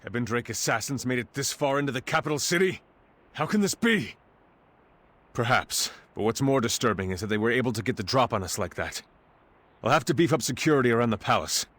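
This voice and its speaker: Earnest, formal palace official. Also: male voice, mid-range voice, animated, fairly quick, fairly bright tone. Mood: troubled. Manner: formal, grave. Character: earnest, dutiful male palace official on guard. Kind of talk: video game cutscene voice acting. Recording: music behind the voice.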